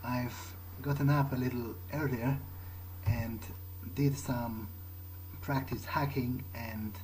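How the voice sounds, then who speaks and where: A man's voice: medium pitch, steady tone, slow, a little mellow, slight background noise, poor audio. A man narrating a video game playthrough in character, thinking aloud in a hesitant, musing way. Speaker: gamer playing in character. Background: music.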